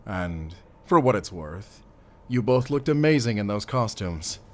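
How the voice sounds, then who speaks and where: A man's voice: fairly low pitch, big pitch swings, a little slow. A man playing a stern, commanding middle-aged father in an audio drama. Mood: calm, fond. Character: wealthy stern father, protective of his son, commanding.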